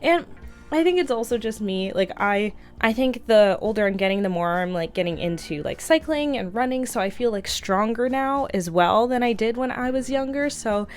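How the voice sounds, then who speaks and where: A woman's voice: on the high side, expressive, moderate pace, smooth. A woman in her twenties talking casually to camera in a rambling vlog, filling her speech with "like". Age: 20s. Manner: chatty, fills speech with "like", rambling. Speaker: vlogger. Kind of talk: casual reflective vlog monologue.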